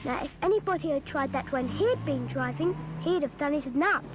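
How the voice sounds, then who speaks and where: A woman's voice: sits high, lively intonation, normal speed, heavy background noise. A woman voicing a cheeky English schoolchild who chattily narrates a public information film, exasperated by grown-ups. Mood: wry, chatty.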